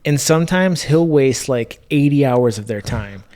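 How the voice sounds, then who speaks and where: A man with a smooth, clean voice at a middle pitch talks as a podcast co-host. He tells anecdotes casually and informally, swearing lightly now and then. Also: animated, normal speed, a little mellow. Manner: informal, mildly profane. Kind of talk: casual chat, storytelling.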